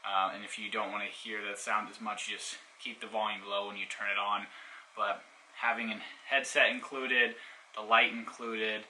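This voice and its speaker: A man with a very bright, cutting voice on the lower side walks through a product in a casual, hands-on demonstration. He explains things informally, step by step. Some background noise sits behind him. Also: level tone, normal speed, low-quality recording. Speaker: product reviewer.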